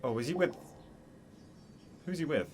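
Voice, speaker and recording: A woman's voice: low-pitched, expressive, moderate pace, a little mellow, slight background noise. A woman hosting a gaming video, giving casual let's play reactions.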